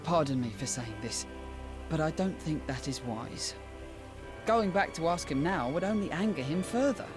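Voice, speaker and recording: A man's voice: on the high side, animated, normal speed, music in the background, natural voice. A man voicing a polite, supportive warrior companion in a video game, with courteous phrasing. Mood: gentle, reassuring. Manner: courteous, well-mannered phrasing. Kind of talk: video game cutscene acting.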